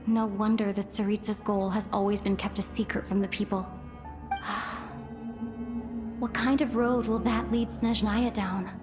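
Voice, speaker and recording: A woman's voice: medium pitch, flat delivery, unhurried, over music, lightly acted. An actress playing a thoughtful young female traveler in a video game, speaking in a composed, earnest, reflective way. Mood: pensive, troubled.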